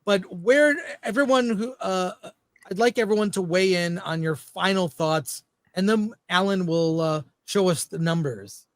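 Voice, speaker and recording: A man's voice: high voice, unhurried, no echo. A man casually moderating a panel discussion as its host. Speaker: show host.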